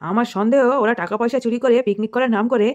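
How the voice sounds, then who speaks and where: A man's voice: extremely high pitch, fairly even intonation, very fast, a little mellow. A man voicing a hot-tempered, scolding, foul-mouthed village father in a comic cartoon. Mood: blustering. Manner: scolding, blustering. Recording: music clearly behind the voice.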